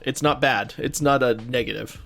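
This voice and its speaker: A man's voice: medium pitch, moderate pace, gravelly, a bit bright. A man co-hosting a podcast, chatting casually in an informal, easygoing manner. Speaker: podcast co-host. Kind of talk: casual chat. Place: home office. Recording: home office recording, music underneath. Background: underlying background music.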